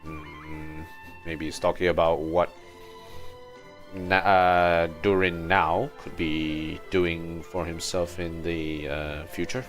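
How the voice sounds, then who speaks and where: A man livestreaming a game in a low-key, musing way, commenting casually and reading text aloud. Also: little pitch movement, takes it slowly, fairly bright tone, soft background music.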